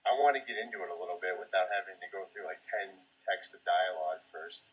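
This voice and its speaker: Husky man on a muffled recording. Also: sits low, fast talker. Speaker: podcast co-host. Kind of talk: casual gaming podcast chat.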